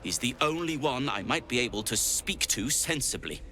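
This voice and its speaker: A man's voice: medium pitch, sing-song, normal speed, pushed and bright, slight background noise. A man voicing a curmudgeonly, theatrical gnome alchemist in a video game, with a wry, self-satisfied manner. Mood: grave, worried. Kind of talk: video game character acting.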